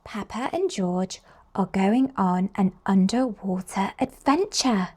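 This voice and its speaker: A woman reading picture books aloud to young children in an expressive, slow storytelling manner. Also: medium pitch, slightly rough. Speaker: children's storybook reader and host.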